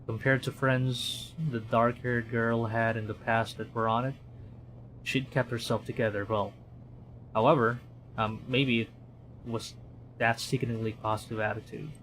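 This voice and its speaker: A man's voice: medium pitch, flat delivery, measured pace, fairly bright tone, slight background noise, rough recording. A man from the Philippines, a streamer reading a horror visual novel aloud in a dramatic storytelling manner, with commentary.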